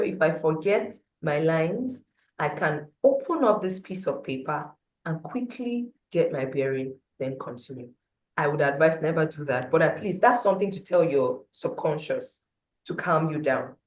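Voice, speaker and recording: Loud low-voiced woman telling a story. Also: moderate pace. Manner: animated storytelling with big swings in pitch and loudness. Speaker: motivational speaker. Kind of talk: storytelling talk at a breakfast meeting. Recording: roomy echo.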